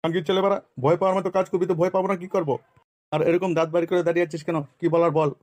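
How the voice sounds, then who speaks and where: A man's voice: high-pitched, level tone, very fast, a little mellow, dry recording, lightly acted. A man voicing grumpy, foul-mouthed village men in a comic cartoon, coarse and exasperated. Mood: angry, scolding.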